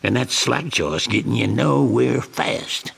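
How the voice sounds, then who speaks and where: An actor playing a crotchety old garage owner in a video game, gruff and scornful, with a folksy way of talking. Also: male voice, medium pitch, lively intonation, a bit bright, heavily acted. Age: older. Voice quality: gravelly, husky. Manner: slow, gruff, folksy drawl.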